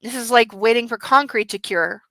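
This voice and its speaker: Blunt, teasing brother for storybook dialogue, read by a woman, mocking and forceful, dry sound. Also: on the high side, normal speed, dry recording. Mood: impatient. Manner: brash, sweary, mocking. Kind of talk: audiobook-like dialogue reading.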